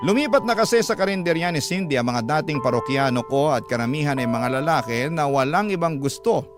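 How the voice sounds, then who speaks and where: Isang lalaking host ng programa sa radyo na madamdaming nagbabasa ng liham ng isang tagapakinig. Medyo mataas ang boses niya at buhay ang pagkukuwento. Malakas ang tugtog ng gitara sa likod. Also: male voice, on the high side, brisk. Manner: expressive storyteller voice. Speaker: host reading a listener's letter. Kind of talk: dramatic radio letter narration. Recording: loud guitar music behind.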